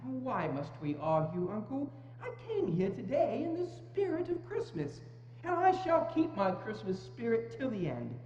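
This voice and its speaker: A buoyant, earnest young nephew, played by a woman, high and very lively in pitch with a mellow tone. The theatrical reading rings through an echoey hall. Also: unhurried. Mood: pleading, determined. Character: cheerful, good-hearted young nephew of an old miser. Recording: echoey hall, dull sound, faint hum.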